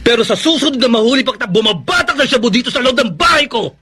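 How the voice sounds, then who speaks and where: A man's voice: very high-pitched, animated, fast talker, pushed and bright, slightly rough, exaggerated voice. A man with a Filipino accent playing a stern, angry husband in a radio drama, scolding and threatening. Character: angry, stern husband fed up with his addicted wife.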